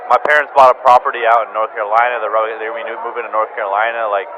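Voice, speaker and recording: A low-energy, downcast man rambling through a confessional voicemail, halting and repeating himself, casual and prone to swearing. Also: little pitch movement. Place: bar, sitting alone. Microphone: phone voicemail recording. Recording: muffled sound, loud bar noise. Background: loud bar ambience.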